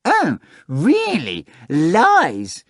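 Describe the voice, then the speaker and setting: A man's voice: high-pitched, wildly swooping pitch, drawn-out words, no echo, studio quality, pitched above the performer's own voice, exaggerated voice. A man voicing a boastful, theatrical talking tomcat with a pompous, mock-dignified manner. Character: boastful talking male cat, sly and theatrical.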